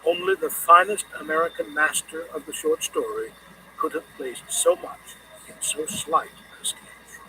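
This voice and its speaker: A man narrating a scripted literary audiobook in a measured, deliberate reading manner. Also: on the high side, recorded from a distance, background noise.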